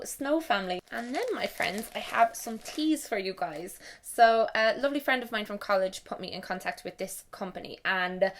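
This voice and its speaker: Chatty Irish woman vlogger. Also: medium pitch. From Ireland. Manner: chatty, self-mocking, swears freely. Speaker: beauty and lifestyle vlogger. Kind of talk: casual chat to camera.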